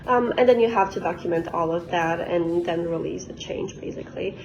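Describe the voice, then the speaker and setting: A woman's voice: on the low side, fairly quick, a little mellow, slight background noise. A woman in her twenties from Bosnia, talking informally and explaining her work as a guest speaker. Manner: conversational, explanatory. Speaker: guest speaker, quality engineer. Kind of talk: informal career talk. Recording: dull, lo-fi sound.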